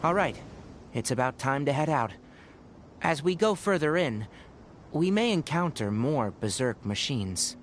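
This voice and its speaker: An actor playing a calm, methodical expedition leader in an anime video game, speaking in a measured, formal way. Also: male voice, medium pitch, slight background noise, natural voice.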